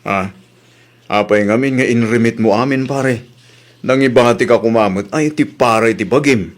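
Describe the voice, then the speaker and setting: Grumbling working husband played by a man, comedy drama, medium pitch, questioning delivery, Filipino accent. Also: normal speed, close to an everyday voice. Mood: reluctant.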